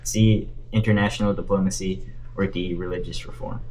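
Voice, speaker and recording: A man's voice: low-pitched, level tone, fairly quick, a little mellow. A man playing a board game, speaking casually and reading out quiz questions. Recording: noisy room with clicks.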